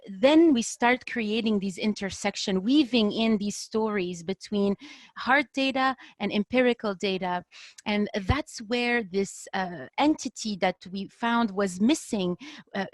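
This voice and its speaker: A woman from Lebanon speaks off the cuff as a panelist on a video call. Her pitch is medium and her delivery is earnest and halting, often reaching for the right word. The sound is dry, with very little room in it. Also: no room sound. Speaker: panel speaker, design activist.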